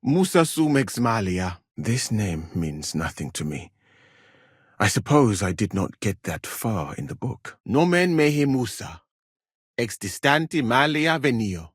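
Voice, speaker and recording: A man playing a learned, courteous medieval traveller from Mali in a video game, speaking in a formal, measured and articulate way. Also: fairly low pitch, big pitch swings, breathy edge, no echo, studio quality, exaggerated voice. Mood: composed, courteous. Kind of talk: dramatic game dialogue.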